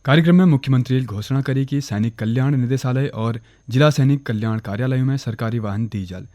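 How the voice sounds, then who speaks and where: A man with a Kumaoni accent reading a regional radio news bulletin in a steady, formal way. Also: mid-range voice, fast talker, no echo, clean studio sound. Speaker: newsreader. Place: radio studio.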